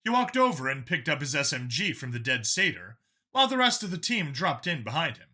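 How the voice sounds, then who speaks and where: A man's voice: a little high, sing-song, a little fast, bright, forceful tone. A man narrating a scripted action story as an audiobook narrator, in a dramatic reading style.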